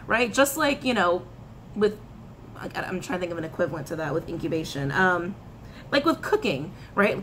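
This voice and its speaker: Woman in her thirties, casual tarot reader, talks to the camera, repeats phrases, faint room hum behind. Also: medium pitch. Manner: chatty, animated hand gestures, frequent laughs and repetitions. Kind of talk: casual spiritual reading to camera. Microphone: camera in front, head and shoulders framing.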